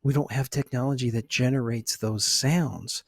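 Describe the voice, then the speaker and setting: A middle-aged man talking softly and unhurriedly in a relaxed, reflective ASMR chat, with a slightly husky voice. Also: medium pitch, normal speed. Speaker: ASMR video host.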